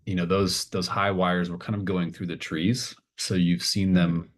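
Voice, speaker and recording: A man's voice: deep voice, little pitch movement, normal speed. A man running a tabletop game, improvising narration in a tentative, informal way. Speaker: game master.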